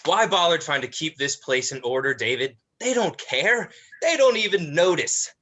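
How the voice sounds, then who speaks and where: An actor playing a put-upon, fussy eldest brother who mutters sardonically in a dramatic script reading. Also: male voice, on the high side, expressive, a little slow, bright, forceful tone, exaggerated voice. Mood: bitter grumbling.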